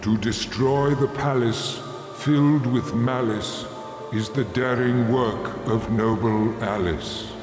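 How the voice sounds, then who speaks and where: An actor playing a solemn, riddling oracle in a video game, with stately, declamatory delivery. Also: male voice, medium pitch, close to an everyday voice. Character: solemn, riddling oracle reciting prophecy in rhyme. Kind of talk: scripted video game dialogue. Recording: echoey, long hall reverb.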